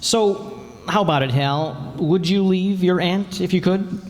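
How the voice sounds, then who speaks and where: Actor as wry young investigator: blunt, high-pitched, old-time radio style, live reverberant hall. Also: male voice, a little slow, music in the background. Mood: wry, probing. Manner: pushy, sardonic, direct. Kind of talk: old-time radio detective drama and narration. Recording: echoey hall stage sound.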